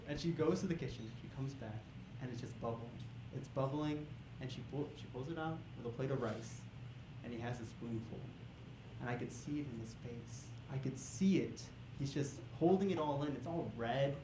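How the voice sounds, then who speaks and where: A young man doing a stand-up comedy set on a club stage, hyping the crowd and then dropping into deadpan setups. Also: fairly high pitch. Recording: echoey club with crowd noise.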